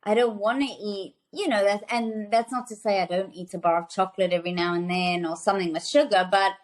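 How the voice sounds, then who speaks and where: A woman in her thirties from South Africa talks as a podcast guest about food and health. Her voice sits at an ordinary middle pitch. She is chatty and enthusiastic, with lots of fillers and quick restarts. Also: fairly even intonation. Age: 30s. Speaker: guest, nutrition author. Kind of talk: casual interview conversation.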